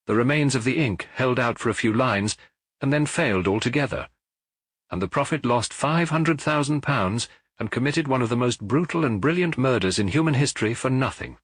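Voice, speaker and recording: A man plays a gentle little priest detective in an audiobook, husky and lifted in pitch above the reader's own voice. He explains earnestly and patiently, like a lecturer, with very mobile intonation. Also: normal speed, no echo, lightly acted. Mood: grave explaining. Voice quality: gentle, even. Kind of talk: audiobook character dialogue.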